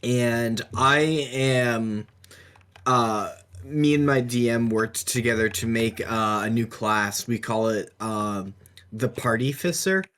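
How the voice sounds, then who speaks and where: A man co-hosting a casual chat about tabletop gaming, speaking in a halting, hesitant way. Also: mid-range voice, fairly bright tone, clear, resonant tone. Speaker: podcast co-host.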